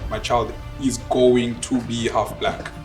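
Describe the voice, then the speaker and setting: A man's voice: mid-range voice, little pitch movement, slow pace. Young Southern African man over guitar music. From Zimbabwe and South Africa. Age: 20s. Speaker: interviewee telling his relationship story. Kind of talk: casual storytelling.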